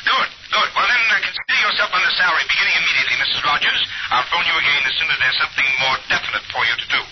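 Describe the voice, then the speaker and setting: A man's voice: fairly high pitch, lively intonation. An actor playing a charming, vague businessman on the telephone, in 1940s radio drama style. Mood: businesslike. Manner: charming, hesitant pauses, businesslike. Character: smooth-talking, vague small-firm businessman on the phone. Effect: telephone filter. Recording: noisy, dull 1940s radio phone voice.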